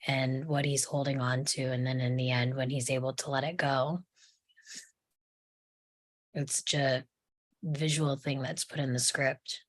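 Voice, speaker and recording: A woman's voice: very deep voice, a bit bright, no room sound. A woman at a table read giving an articulate, analytical take on a script. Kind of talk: casual discussion of a script.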